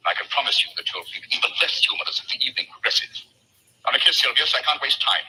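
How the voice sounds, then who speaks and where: Commanding British radio detective: theatrical, coolly mocking, very animated, husky-edged old broadcast sound. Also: male voice, medium pitch, fast, full character voice, poor audio. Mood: brisk, commanding. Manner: crisp, teasing, theatrical delivery. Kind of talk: old-time radio mystery acting. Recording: old radio recording, bright and rough.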